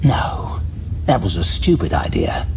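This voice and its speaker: A man giving scripted fantasy audio drama narration, measured and dramatic, recorded as a studio voice-over. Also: low voice, sing-song, a little mellow, a little hiss or hum. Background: faint music.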